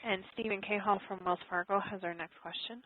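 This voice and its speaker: A woman's voice: mid-range voice, fairly even intonation, a little fast, a little hiss or hum. Female conference operator on a phone line. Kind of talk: formal call announcements. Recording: dry, narrow telephone audio.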